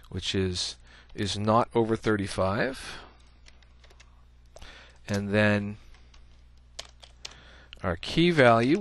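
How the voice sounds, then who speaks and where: A man giving a casual screencast coding tutorial, thinking aloud as he types, with many "uh" fillers. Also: fairly low pitch. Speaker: programming instructor.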